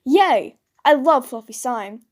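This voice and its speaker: A woman's voice: high voice, animated, takes it slowly, darkish tone. A woman voicing a whiny, easily embarrassed young male catboy in a comedic fan fiction reading, stammering and squealing. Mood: excited, delighted.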